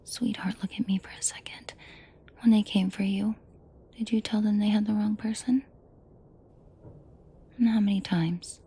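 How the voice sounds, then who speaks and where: A woman playing a commanding, protective mafia boss in an intimate roleplay audio drama, shifting between cold authority and soft coaxing. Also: mid-range voice, steady tone, normal speed, long pauses, slightly rough. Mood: tender, protective. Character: commanding female mafia boss, furious yet protective and tender.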